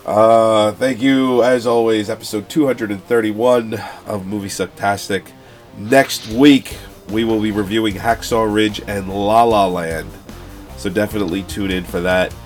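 A man's voice: lowish voice, steady tone, a little slow, faint music behind. A man who is tired and under the weather, co-hosting a podcast in casual, profane chat. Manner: profane, informal. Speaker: podcast co-host.

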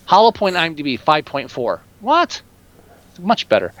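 A man's voice: on the high side, wildly swooping pitch, moderate pace, fairly bright tone, muffled recording. A man co-hosting a podcast, ranting in exasperated, heavily profane and opinionated casual talk. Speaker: podcast co-host. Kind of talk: casual, opinionated film chat.

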